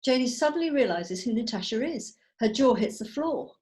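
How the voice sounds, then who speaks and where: A woman's voice: on the high side, expressive, moderate pace, darkish tone. A woman reading scripted stage directions as narrator over a video call.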